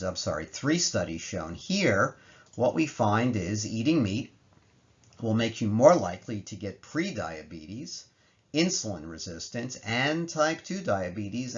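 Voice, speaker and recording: Animated low-voiced man giving a lecture. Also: muffled recording. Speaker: presenter, lecturer. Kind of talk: slide lecture explaining research in plain language. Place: voice-over recorded over slides.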